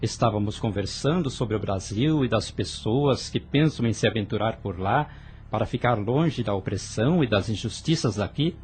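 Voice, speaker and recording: A man's voice: lowish voice, a little mellow, slight background noise, natural voice. A man playing an impulsive young brother. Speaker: audio drama actor. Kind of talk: radio drama acting.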